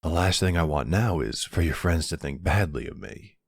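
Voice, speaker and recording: Man acting a deep, gravelly, smitten mafia boss. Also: lively intonation, no room sound, studio quality, full character voice. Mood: tender, playful. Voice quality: low, gravelly, hushed. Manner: tender, hesitant, unhurried, earnest. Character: soft-spoken, courteous, smitten male mafia boss. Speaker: audio roleplay voice actor. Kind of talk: intimate ASMR boyfriend roleplay.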